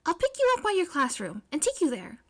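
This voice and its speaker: Woman as teenage girl inventor, very high pitch, brash, eager, loud, excitable, audio fan fiction. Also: brisk, exaggerated voice. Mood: confident. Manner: loud, excitable, emphatic delivery.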